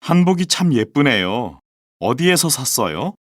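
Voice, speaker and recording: A man's voice: medium pitch, very animated, a little slow, no echo, clean studio sound. A man voicing scripted dialogues and a model presentation for a language textbook, with careful, evenly enunciated reading. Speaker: textbook audio voice actor.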